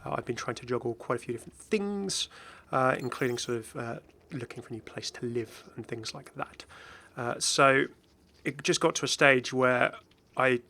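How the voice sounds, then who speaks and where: A man with a bright, forward voice and a gravelly creak talks casually to his gaming channel's viewers. He is chatty and rambles along unscripted, at a middling pitch. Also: normal speed. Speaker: gaming YouTuber. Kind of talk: casual unscripted video commentary and channel update.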